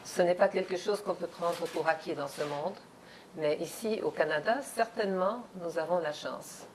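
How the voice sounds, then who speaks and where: A woman hosting a dinner-table interview in a home, speaking slowly and carefully as she searches for words. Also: fairly low pitch, slight background noise, poor audio. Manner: slow and measured, searching for words. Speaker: host. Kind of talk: hosted interview.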